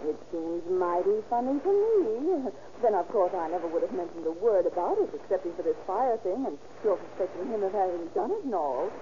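An actress playing an eager, gossipy busybody, chatty and insinuating, in 1930s radio drama style. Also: female voice, mid-range voice, expressive, fairly quick, hardly any pauses, dark tone, close to an everyday voice. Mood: confiding, polite. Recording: muffled, noisy old radio recording.

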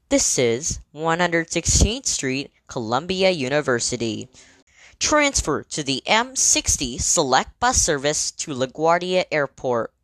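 Low-voiced woman making measured train announcements. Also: a bit bright, no echo. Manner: measured, clearly segmented, formal. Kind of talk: scripted transit announcements.

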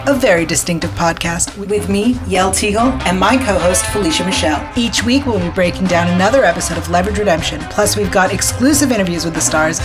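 A woman podcast host reading an ad in a bright, salesy style. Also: fairly low pitch. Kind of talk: promotional ad read. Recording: music bed under voice.

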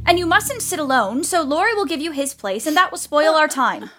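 A woman's voice: sits high, expressive, brisk, a bit bright, faint music behind. A woman acting a bossy, hot-tempered teenage older sister in an audio play, speaking in a blunt, scornful and vehement way. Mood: scornful, dismissive.

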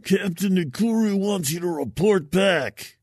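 A man voicing a hostile space mercenary team leader in an audio story, rough and aggressively commanding. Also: high voice, unhurried, a little mellow, no echo, clean studio sound, voice pushed up. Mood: barking, hostile.

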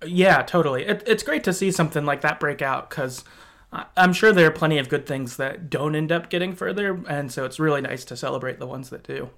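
A man with a voice a little on the high side hosts a podcast. He speaks casually, in an agreeable, affirming manner. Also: brisk, creaky, a bit bright. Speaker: podcast host.

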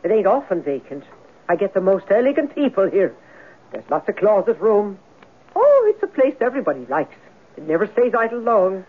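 A woman's voice: mid-range voice, sing-song, moderate pace, slightly rough. An actress playing a nervous, chatty working-class landlady in a radio drama, with folksy, ungrammatical speech. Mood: brisk, chatty. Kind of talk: old-time radio drama acting. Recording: narrow-band old radio recording.

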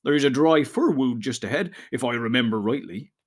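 A man's voice: medium pitch, expressive, fairly quick, lightly acted. A man reading aloud, voicing a loyal, deferential male hobbit servant. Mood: eager. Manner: eager, deferential.

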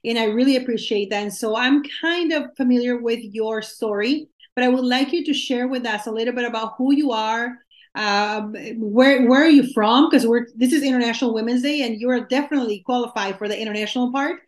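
A woman's voice: a little high, a little fast. A woman hosting a podcast, giving upbeat introductions and asking a guest about her story. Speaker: podcast host. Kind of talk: podcast interview.

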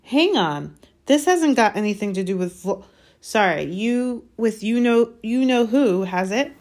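A woman voicing an earnest, anxious boy wizard of about twelve in a storybook, speaking in a hurried, halting way. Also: fairly high pitch, animated, darkish tone, voice pushed up. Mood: puzzled. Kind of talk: dramatised storybook reading.

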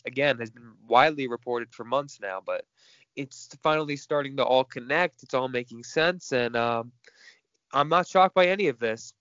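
A man's voice: medium pitch, a bit bright, dry recording, lo-fi sound. A man with a stuffy nose, co-hosting a casual chat about movie news in a frank, chatty way. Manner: chatty, frank, laughs between points. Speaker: podcast co-host.

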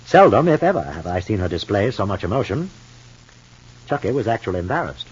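A man's voice: on the low side, big pitch swings, brisk, breathy edge, exaggerated voice. A man playing a stiff, superior husband and father in a 1950s radio soap opera, speaking formally and pompously. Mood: cool, measured. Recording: muffled old radio recording.

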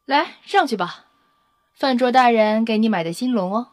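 A woman playing a confident, teasing scientist in an audio drama, brisk and matter-of-fact with a playful, self-satisfied manner. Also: on the high side, animated, no room sound, close to an everyday voice. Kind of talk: Chinese audio drama acting.

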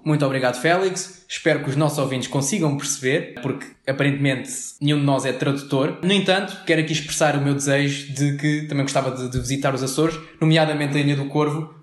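A man's voice: a little high, steady tone, quick pace, roomy sound. A man with a European Portuguese accent, co-hosting a comic podcast in mock tour-guide patter. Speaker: podcast co-host. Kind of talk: scripted comic podcast segment.

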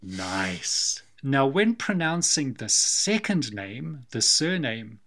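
A man with a New Zealand accent, co-hosting a film podcast in a wry, measured, slightly theatrical way. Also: mid-range voice, big pitch swings, a bit bright. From Scotland. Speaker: podcast co-host. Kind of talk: casual film discussion.

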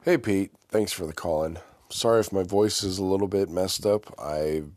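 Man, podcast host, stuffy nose from a cold, very low pitch, dry recording, rambling casual talk. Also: normal speed, a little mellow, no echo. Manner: loose, rambling, self-correcting.

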